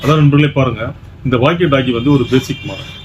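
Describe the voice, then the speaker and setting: A man with a Tamil Nadu accent giving an emphatic, repetitive product sales pitch, dropping in English words as he presents. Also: quick pace. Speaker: shop presenter selling electronics. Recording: dull lo-fi sound with faint background noise.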